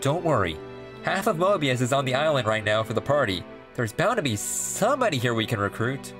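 A woman voicing a confident, protective hedgehog king with a breezy manner in an animated comic dub. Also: rumbling low, brisk, over music. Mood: reassuring. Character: heroic adult male hedgehog king, cocky and protective.

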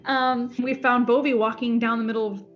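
A woman's voice: a little high, flat delivery, fairly quick. Chatty woman on a lo-fi video call. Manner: rambling, restarts sentences, laughs easily. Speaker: farm sanctuary founder. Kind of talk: casual video call chat. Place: home room. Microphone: laptop webcam on video call. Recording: dull lo-fi call audio, faint music.

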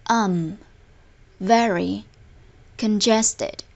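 Very slow, deliberate woman teacher. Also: mid-range voice, gravelly, highs cut off. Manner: deliberate, word-by-word enunciation. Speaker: language teacher. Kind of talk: scripted lesson narration, slow repeated example phrases.

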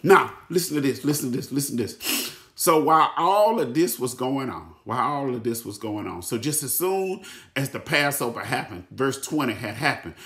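A middle-aged man preaching a sermon, repeating his phrases, shouting some lines and dropping to hushed asides, recorded on a phone at arm's length in a room at home. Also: medium pitch, animated, normal speed. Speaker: pastor. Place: room at home with ceiling lights. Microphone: phone camera at arm's length. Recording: echoey room.

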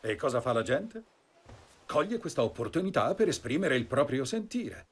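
A man's voice: medium pitch, very animated, normal speed, husky. A man dubbing an actor playing an earnest eighteenth-century delegate of the third estate, asking and answering his own questions in an impassioned monologue to camera. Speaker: period reenactor speaking to camera. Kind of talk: dubbed documentary monologue. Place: wood-panelled study with leaded windows.

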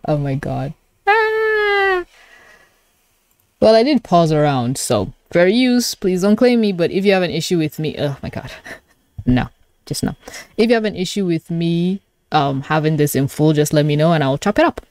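A young adult woman giving a casual live reaction, gushing and exclaiming with excitement. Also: on the low side, moderate pace, no echo. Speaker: video creator reacting to a webcomic. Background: pop song playing.

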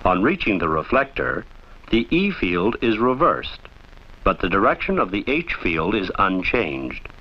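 Deep-voiced male film narrator, dull old soundtrack. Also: big pitch swings, breathy edge. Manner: formal, measured, didactic. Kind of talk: scripted instructional narration.

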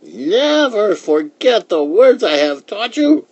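A kindly old woman from a storybook, acted out by a man, speaks high above a normal male register. Her words are drawn out and emphatic, with an air of mystery and a slightly husky edge. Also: big pitch swings, slow pace, dry recording, muffled recording, exaggerated voice. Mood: warning.

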